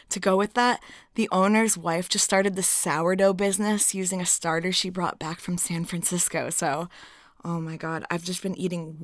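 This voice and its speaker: A woman performing an intimate roleplay as a friendly, flirty waitress, chatty and giggly, with her voice often dropping soft and breathy. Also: lowish voice, no echo, clean studio sound. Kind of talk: intimate ASMR-style roleplay monologue.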